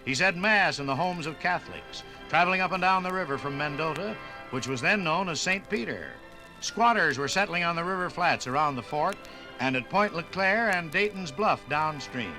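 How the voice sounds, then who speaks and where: Male documentary narrator: vintage radio style, lively intonation, oratorical, music behind. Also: fairly high pitch, moderate pace, low-quality recording. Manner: dramatic, oratorical phrasing.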